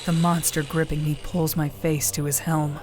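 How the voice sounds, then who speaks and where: An actress playing a determined young warrior nun who narrates her own fight in a grave, measured way. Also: low voice, flat delivery, heavy background noise, natural voice. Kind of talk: first-person scripted narration.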